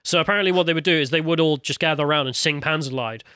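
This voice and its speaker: Podcast guy, brightish higher voice, chatty and laughy, dry close mic. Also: rapid-fire, clear, resonant tone, no room sound. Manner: chatty, laughs easily, reads viewer comments aloud. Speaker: podcast co-host. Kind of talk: casual podcast chat.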